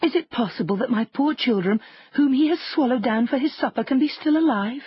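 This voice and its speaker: A woman's voice: sits high, lively intonation, fairly quick, husky, lo-fi sound, voice pushed up, full character voice. A woman voicing a devoted, anxious but resolute mother goat in a children's fairy tale. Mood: anxious hope.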